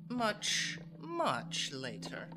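A woman's voice: mid-range voice, wildly swooping pitch, drawn-out words, full character voice. A woman performing scripted storybook narration of a comic adventure in a motion comic radio play. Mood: measured. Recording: music behind the voice.

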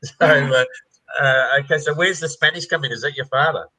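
Older male host, forceful bright tone, casual video-call chat. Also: fairly high pitch, fairly quick, low-quality recording. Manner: chatty, repeats words, laughs easily. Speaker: race organizer interviewing an entrant. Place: home room indoors.

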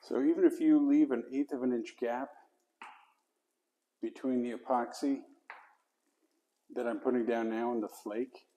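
A man's voice: mid-range voice, fairly even intonation, measured pace, long pauses, mellow, muffled recording. Man mutters through an epoxy floor job. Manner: talks to himself mid-task, drops to mutters. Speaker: flooring installer demonstrating. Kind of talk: casual how-to instruction while working. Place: basement, indoors.

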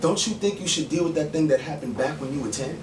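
A man, performing dramatic spoken word poetry on stage in a theatrical, pointed manner with deliberate pauses. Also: fairly high pitch. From the Bronx, New York, USA. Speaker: spoken word poet and actor. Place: small dim bar stage, indoors. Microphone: far camera mic, stage mic unused beside him. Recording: roomy, distant camera mic with background noise.

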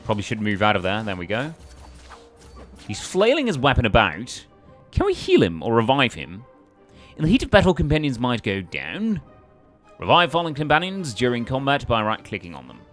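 Male let's play host, mid-pitched and animated, loose hesitant commentary over soft game music. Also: moderate pace. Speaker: gaming video host. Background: faint game music.

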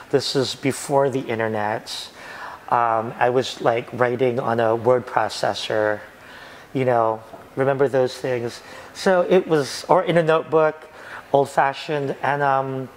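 Guy in his 40s, spoken word poet, gets really dramatic and lively, little bit of background hum. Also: mid-range voice, rough recording. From United States. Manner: animated, dramatic, gesturing expressively. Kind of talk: documentary interview. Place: restaurant booth and outdoor graffiti alley.

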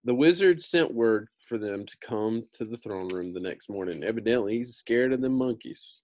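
A man's voice: on the low side, animated, moderate pace, darkish tone, dry recording. An American man in his thirties reading a book aloud in an informal, slangy way with casual asides. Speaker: read-aloud storyteller.

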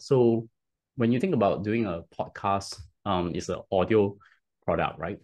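Deep-voiced Singaporean man explaining. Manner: explains at length with many restarts and rhetorical "right?". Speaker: podcast guest. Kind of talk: casual interview talk. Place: home room, video call. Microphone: headset.

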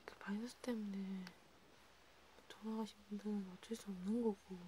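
Softly whispering female vampire counselor, acted by a woman. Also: mid-range voice, steady tone, slight background noise, lightly acted. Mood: soft, reflective. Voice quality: breathy, mostly whispered. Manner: intimate, halting, repetitive casual speech. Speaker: ASMR creator. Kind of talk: whispered ASMR counseling role play.

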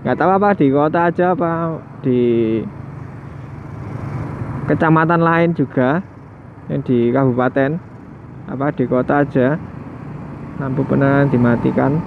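A man keeps up casual chatter as he rides a motorbike at night. His voice sits at a middling pitch and comes through a thin, phone-like headset mic. Steady engine and traffic noise runs under every word. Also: moderate pace, vocal fry, pauses often. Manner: chatty, muses aloud, chuckles now and then. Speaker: motovlogger. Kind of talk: casual riding commentary. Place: on a motorbike on night city streets. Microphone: headset mic worn while riding. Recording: tinny helmet mic with loud road noise.

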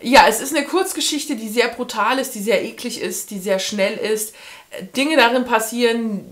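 A woman's voice: a little high, normal speed. A woman in her thirties reviewing books casually to camera, chatting and thinking aloud as she goes, recorded in a furnished room. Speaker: book vlogger.

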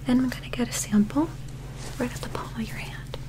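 A young woman doing a soft-spoken ASMR medical roleplay in a breathy whisper, gentle, soothing and reassuring, close to the microphone. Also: on the high side, brisk, poor audio. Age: young adult.

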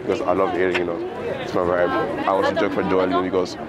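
A man interviewed outdoors on a busy city street, answering in halting, repetitive phrases full of fillers. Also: sits low, monotone, over a noisy crowd. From Italy. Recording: outdoor street with loud music behind.

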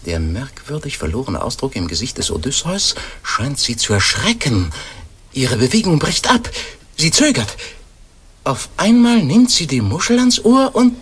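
Animated, formal male commentator. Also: mid-range voice, normal speed, slightly rough. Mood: excited, admiring. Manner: formal, suspenseful, ornate. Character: learned doctor commentating solemnly on a silent scene. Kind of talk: live commentary in a radio play.

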